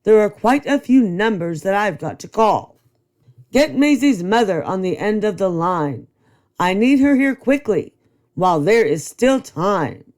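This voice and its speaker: A woman's voice: medium pitch, expressive, measured pace, darkish tone, lightly acted. A woman voicing a fussy, bossy male school principal in a read-aloud storybook, declaiming in rhyme.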